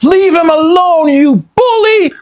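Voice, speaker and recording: A man doing a shrill, bold little girl. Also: takes it slowly, no room sound. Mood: urgent shouting. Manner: high-pitched shouting. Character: brave loud little girl.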